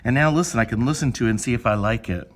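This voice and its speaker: A man's voice: mid-range voice, rapid-fire. A man speaking as an elementary school teacher, chatting informally through step-by-step instructions for young children and thinking aloud.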